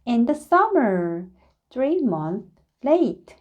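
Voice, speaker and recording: A woman reading a children's story aloud in an expressive, deliberate way, phrasing it dramatically for young listeners. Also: a little high, muffled recording. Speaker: storybook reader.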